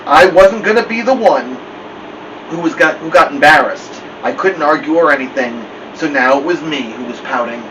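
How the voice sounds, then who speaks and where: A man reading a storybook aloud as a story-time host, with expressive narration. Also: fairly high pitch, normal speed. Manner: expressive read-aloud delivery. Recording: muffled lo-fi, distant mic, loud hiss.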